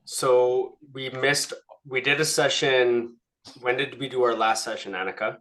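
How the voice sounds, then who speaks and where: A man's voice: mid-range voice, steady tone, measured pace, a bit bright, rough recording. A man leading a group coaching session, speaking casually and often checking in with a questioning "right?".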